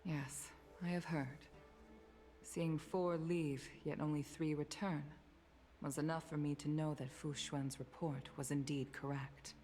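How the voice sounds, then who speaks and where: A woman voicing a grave, dignified middle-aged commission leader in a video game, speaking in a formal, measured way. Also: sits low, level tone, dark tone, faint music behind. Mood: solemn.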